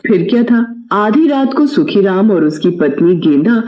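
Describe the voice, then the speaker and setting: A man's voice: high voice, expressive, measured pace, dull audio. A man expressively narrating a scripted children's story, with a roomy echo on his voice.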